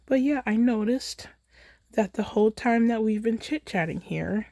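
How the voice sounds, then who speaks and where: An American woman chatting casually in a relaxed, rambling way as she hosts a crafting livestream, recorded on a phone in a holder. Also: fairly high pitch, normal speed, vocal fry, dry recording, studio quality. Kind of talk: casual chat while crafting.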